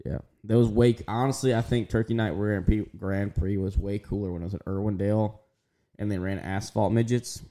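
A man's voice: lowish voice, steady tone, fairly quick, warm tone. A young man co-hosting a podcast, chatting casually and rambling with a laid-back manner. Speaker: podcast co-host. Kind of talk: casual chat.

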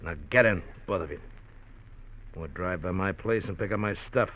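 An actor playing a smug, menacing crook in a vintage radio drama, mock-friendly and clipped, on a muffled noisy recording. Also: male voice, low voice, normal speed, close to an everyday voice. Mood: threatening. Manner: mock-friendly, threatening, clipped slangy talk. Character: menacing, smug male crook shadowing an old pal. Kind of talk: 1940s radio drama acting.

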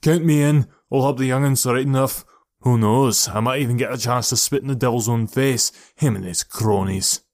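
A man voicing a big, gruff, fierce man with a grave, contemptuous manner, as an audiobook character. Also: mid-range voice, normal speed, a little mellow, close to an everyday voice. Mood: defiant. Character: big gruff ginger-haired man, fierce, defiant, soft-hearted.

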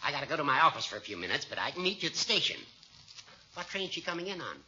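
An actor playing a crotchety, teasing old judge, a busybody friend, in a 1940s radio comedy. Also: male voice, on the high side, very animated, very fast, sharp tone, full character voice. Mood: sly. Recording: dull, noisy vintage radio recording.

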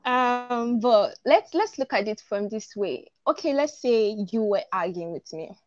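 A woman's voice: a little high, lively intonation, measured pace, a little mellow. A woman, speaking as a panelist in a live online discussion. She is direct and opinionated and says her piece plainly. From Nigeria. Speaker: guest panelist. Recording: dull lo-fi stream audio.

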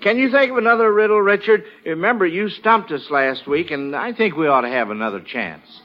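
A man's voice: sits high, expressive, brisk, highs cut off. An American man hosting a 1940s radio quiz show in a folksy, exclamatory manner, on an old recording.

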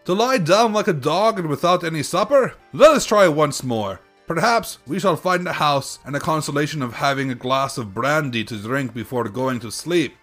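A man doing a loud, hungry young student philosopher. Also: fairly high pitch, expressive, normal speed, few pauses, soft background music, voice pushed up. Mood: eager. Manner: loud, exclamatory and pleading.

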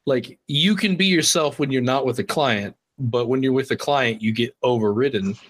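A man's voice: medium pitch, moderate pace, fairly bright tone. A man co-hosting a podcast, casually pushing back and rambling a little. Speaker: podcast co-host. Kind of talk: casual chat.

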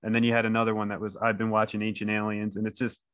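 A man's voice: lowish voice, little pitch movement, very fast, fairly bright tone, smooth, dry recording, studio quality. A man hosting a casual conversation in a laid-back, slangy way, often saying dude and you know. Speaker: podcast host, interviewer. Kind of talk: casual chat.

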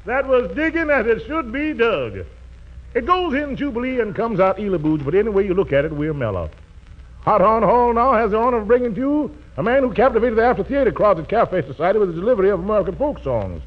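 A man's voice: high voice, sing-song, quick pace. A man hosting a 1940s radio show, announcing acts in jokey, flowery patter full of jive slang. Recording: dull 1940s radio recording.

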